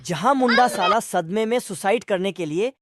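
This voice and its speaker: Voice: mid-range voice, moderate pace, fairly bright tone, no room sound. A narrator telling comic scripted stories over cartoon clips in a crude, slangy way.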